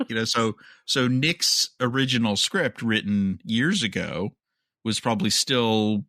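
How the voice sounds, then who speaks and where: A man's voice: lowish voice, takes it slowly, sharp tone, no echo. A man co-hosting a podcast, chatting casually and offering his opinions in a conversational way. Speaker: podcast co-host. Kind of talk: casual chat about entertainment news.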